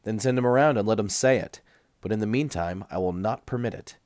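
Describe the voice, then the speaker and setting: A defiant male hospital patient played by a man reading aloud, wry and curt. His voice sits fairly low and close, with very little room sound, and he stays cool and stubborn. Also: a little fast, a little mellow, no echo, close to an everyday voice. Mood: defiant, sardonic. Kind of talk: audiobook character dialogue.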